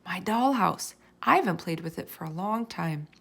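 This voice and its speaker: A woman voicing an affectionate, excitable young schoolgirl in a storybook reading. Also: on the low side, moderate pace, gravelly, exaggerated voice. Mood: delighted. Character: eager young schoolgirl, affectionate, chatty, sometimes grumbly.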